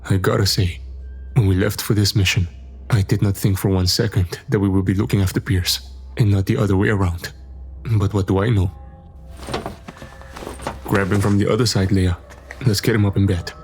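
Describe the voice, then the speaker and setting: A man's voice: low voice, fairly even intonation, normal speed, long pauses, soft background music. A man playing a blunt, wry crew member in an audio drama. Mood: wry, resolved.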